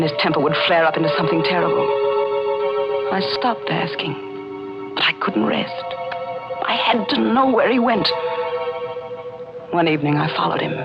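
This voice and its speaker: An actress playing a jealous, scheming mystery novelist who narrates an old-time radio thriller with melodramatic intensity and dramatic pauses. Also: female voice, fairly low pitch, creaky. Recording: muffled old radio recording, loud music.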